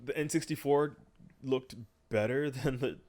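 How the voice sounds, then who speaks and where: A man's voice: mid-range voice, measured pace. A man in his thirties hosting a podcast, chatting casually and rambling with fillers. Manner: relaxed, rambling, trails off with fillers. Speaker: podcast host. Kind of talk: casual chat.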